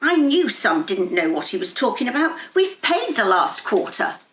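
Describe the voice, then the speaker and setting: A woman's voice: fairly high pitch, sing-song, moderate pace, fairly bright tone, reverberant. A woman voicing a preoccupied, fretful father in a story, muttering as he goes. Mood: annoyed. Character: busy, distracted father, fretful and annoyed.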